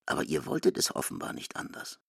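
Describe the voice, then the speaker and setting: A man's voice: fast talker, a bit bright, no room sound, clean studio sound. A man reading scripted literary narration with quoted dialogue.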